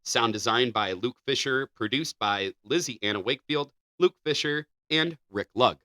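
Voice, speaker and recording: A man co-hosting a casual podcast, quick to laugh at himself. His voice is bright and forceful. The sound is very dry. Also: medium pitch, no room sound. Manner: laughing, self-deprecating. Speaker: podcast co-host. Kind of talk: casual chat.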